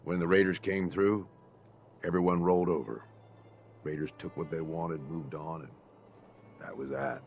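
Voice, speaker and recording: An actor playing a gruff, jaded raider lieutenant in a video game, blunt and plain-spoken with a folksy turn of phrase. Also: male voice, low-pitched, a little hiss or hum. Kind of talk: video game character dialogue.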